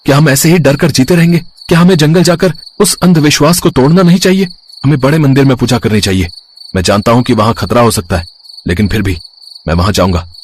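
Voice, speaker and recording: A man playing a brave young villager, earnest and emphatic, in dramatic dialogue for an animated moral story. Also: mid-range voice, fast talker, slightly rough, close to an everyday voice. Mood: determined.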